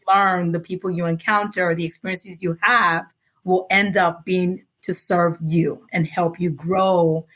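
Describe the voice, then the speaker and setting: A woman from Nigeria, speaking American English with a light Yoruba tinge, talks as a guest on a podcast. She is earnest and reflective, laughs easily, and slows down to stress her points. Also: on the low side, flat delivery, fairly bright tone. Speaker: guest author. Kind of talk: relaxed podcast interview.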